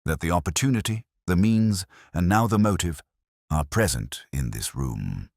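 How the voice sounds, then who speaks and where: A man voicing a shrewd, commanding Victorian detective with measured, formal speech in an audiobook story. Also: low voice, animated, vocal fry, no room sound, studio quality, heavily acted. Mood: grave, assertive. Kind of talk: audiobook character dialogue.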